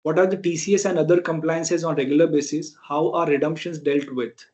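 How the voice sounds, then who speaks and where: A man's voice: on the high side, fairly even intonation, fairly quick, darkish tone. A man with an Indian accent moderating a conference call and reading questions aloud. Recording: dull, lo-fi conference call audio.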